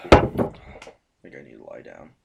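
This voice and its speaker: An actor playing a formal, ruthless and weary council sovereign in an audio drama, speaking in deliberate official phrases. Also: male voice, heavy background noise. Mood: weary, dry.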